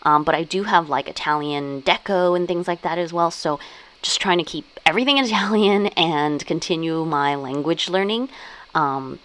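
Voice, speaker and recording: Brief: young woman, low voice, relaxed filler-heavy vlog chat about her planners and journals at home. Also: normal speed. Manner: chatty, many "like" and "um" fillers. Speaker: stationery vlogger. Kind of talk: casual show-and-tell chat.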